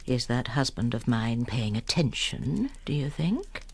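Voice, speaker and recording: A woman's voice: very deep voice, very animated, measured pace, gravelly, breathy edge, exaggerated voice. An actress in a radio drama playing a genteel, theatrical woman in her sixties with a coy, needling temper. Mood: coy, spiteful. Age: 60s. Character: genteel older wife, coyly spiteful, theatrical, needling.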